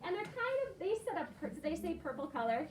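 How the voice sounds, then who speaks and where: A woman's voice: very high-pitched, sing-song, fast talker, a crowd in the background. A woman teacher leading an interactive read-aloud lesson with children, asking questions and repeating and affirming their answers. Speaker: primary school teacher. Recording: roomy, noisy, distant classroom microphone.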